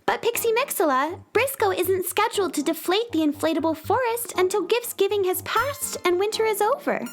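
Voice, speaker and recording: A woman's voice: very high voice, animated, brisk, faint music behind. A woman playing a friendly, earnest young space girl in a children's radio drama, speaking with childlike eagerness. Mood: concerned.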